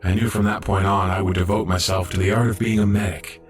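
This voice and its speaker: A man's voice: deep voice, flat delivery, quick pace, soft background music, close to an everyday voice. A man playing an earnest, dedicated young robot medic in an audio drama, reflective and polite. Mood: hopeful, resolved. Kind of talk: audio drama narration and dialogue.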